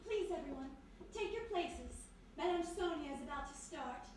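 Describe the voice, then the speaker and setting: A woman's voice: sits high, expressive, moderate pace, a little mellow, a little hiss or hum. A woman acting a sweet, clinging, genteel hostess in a radio mystery performed live. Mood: gracious. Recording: very echoey live stage, far from mic.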